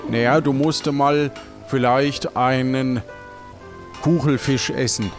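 A man acting as a pirate mate in an audio play, with a medium-pitched voice. He teases and goads in a casual, improvised way over soft music. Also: fairly even intonation, takes it slowly, lightly acted. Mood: teasing, goading. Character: teasing, goading male pirate companion.

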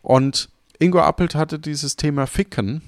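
A man hosting a casual podcast chat, speaking haltingly and repeating words as he searches for phrasing. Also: fairly high pitch, no echo, clean studio sound. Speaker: podcast host.

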